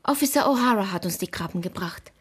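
An actress playing a timid but persistent and curious young girl in a radio drama, polite and soft in manner. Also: medium pitch, lively intonation, fast talker, husky. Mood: anxious, polite.

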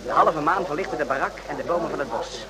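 Man acting brash sergeant, radio drama, animated high voice, blunt colloquial talk, crowd noise. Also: fast, natural voice. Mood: reflective. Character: brash, excitable army sergeant on night watch.

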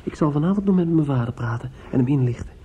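An actor in a Dutch radio play playing an earnest young suitor, protective and quietly vengeful, probing and insistent with some hesitation. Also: male voice, on the high side, lively intonation, quick pace, slight background noise, close to an everyday voice. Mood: tender, soothing. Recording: muffled, lo-fi old radio recording.

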